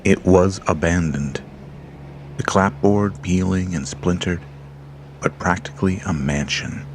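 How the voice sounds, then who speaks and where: Super deep gravelly guy reading a scary story slow, creepy music behind. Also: slight background noise. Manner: slow, measured, hushed storytelling. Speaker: narrator. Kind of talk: scripted horror narration. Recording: music bed under voice.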